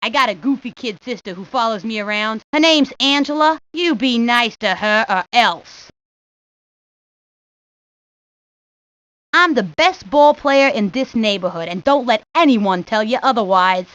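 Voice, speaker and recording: A woman's voice: fairly high pitch, lively intonation, moderate pace, few pauses, a bit bright, no room sound. A woman voicing a cocky, streetwise neighborhood boy, talking in brash slang for a cartoon video game. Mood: boastful, threatening. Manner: brash, slangy wise-guy talk. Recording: dull lo-fi 1990s game audio.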